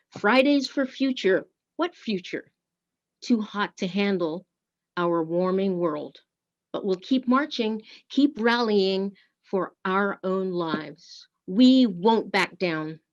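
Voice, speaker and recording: A woman reads solemnly at a very slow, deliberate pace. Her voice sits at a middling pitch, and the recording is slightly dull. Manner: measured, deliberate phrasing. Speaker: worship service speaker and reader. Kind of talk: solemn scripted poetry and litany reading.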